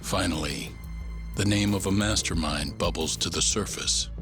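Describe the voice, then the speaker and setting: A grave male narrator played by an actor with a low voice recaps a crime drama for a game. The delivery is scripted, solemn and deliberate, over loud music. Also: lightly acted. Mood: grave, ominous.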